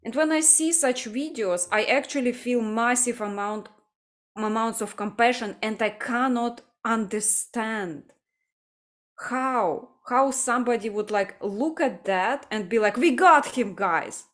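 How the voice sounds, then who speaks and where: A woman from Ukraine hosting a livestream discussion, speaking earnestly and haltingly as she searches for her words. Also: fairly high pitch, a bit bright. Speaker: livestream host. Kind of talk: casual discussion.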